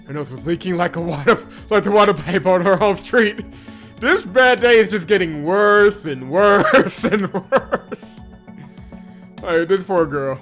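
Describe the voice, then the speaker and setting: A man hosting a visual novel playthrough, reading the narration aloud and reacting casually. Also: mid-range voice. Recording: loud game music behind voice. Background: game music.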